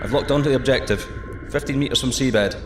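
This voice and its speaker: An actor playing a male deep-sea diver piloting a tiny submarine, giving calm, clipped radio reports. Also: fast, reverberant, music in the background, natural voice. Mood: steady. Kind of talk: audio drama acting.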